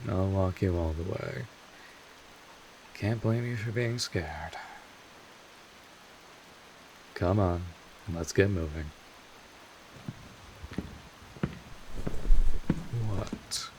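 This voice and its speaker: A low-voiced vampire mentor, acted out by a man, talks slowly over faint hiss and rain-like noise. He is gruff but caring, rambling through his explanations in a streetwise, informal manner, like a weary guide in an audio drama. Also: a little mellow, poor audio. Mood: exasperated, protective. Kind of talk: scripted audio roleplay addressed to the listener.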